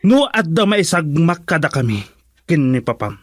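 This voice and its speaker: A man's voice: a little high, big pitch swings, normal speed. Man acting stubborn, defiant young husband. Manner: insistent, defiant. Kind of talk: melodramatic radio drama acting.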